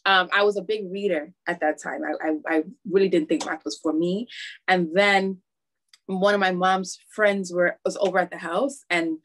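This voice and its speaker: A woman's voice: fairly low pitch, steady tone, normal speed, a bit bright. A woman from Harlem, New York, who teaches math, answering interview questions candidly, with hesitant fillers.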